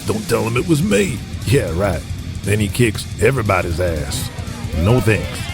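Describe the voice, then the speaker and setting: A man's voice: lowish voice, very animated, moderate pace, gravelly, over music. A man playing brash, crude 1980s pro wrestlers ribbing each other in an audio drama. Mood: teasing. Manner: crude, cocky locker-room ribbing. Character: brash, foul-mouthed 1980s male pro wrestlers bantering.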